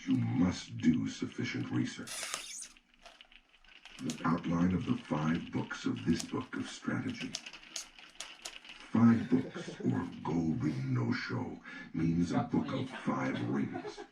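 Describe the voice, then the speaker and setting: A man reading an old treatise as an audiobook narrator, in a measured, solemn, declarative manner. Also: rumbling low, lively intonation, gravelly. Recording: roomy, distant mic with faint noise.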